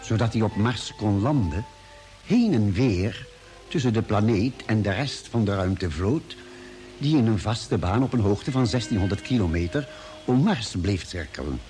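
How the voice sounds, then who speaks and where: An actor playing an expedition member who narrates a space radio play with measured, dramatic phrasing. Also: male voice, fairly low pitch, lively intonation, darkish tone, soft background music, close to an everyday voice. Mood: solemn. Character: expedition member solemnly recounting a space voyage.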